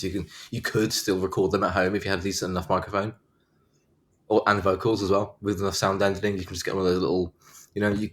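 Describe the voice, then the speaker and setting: Very deep-voiced relaxed man. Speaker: band bassist. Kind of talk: casual interview answers.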